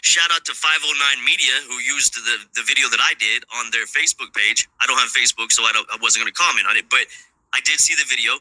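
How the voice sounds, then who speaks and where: A man's voice: mid-range voice, fast, pushed and bright, no echo, rough recording. A man in a played video clip, casually commenting on news in a rambling, offhand way.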